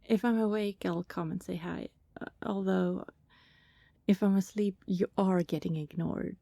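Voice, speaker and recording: Woman doing a playful vampire neighbour for asmr, soft and mellow, dry close sound, chatty teasing. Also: fairly low pitch, steady tone, measured pace, dry recording. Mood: fond, teasing. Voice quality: soft, breathy, often slipping toward whisper. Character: friendly teasing female vampire neighbour, secretly immortal. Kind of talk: ASMR roleplay, intimate one-sided conversation with listener.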